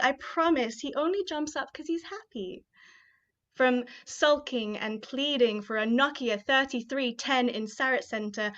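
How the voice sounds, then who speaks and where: A woman's voice: fairly high pitch, a bit bright. A young woman from Kenya hosting an online poetry showcase and reading her own spoken-word poem, chatty and upbeat with fillers between remarks. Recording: dull, muffled live-stream audio.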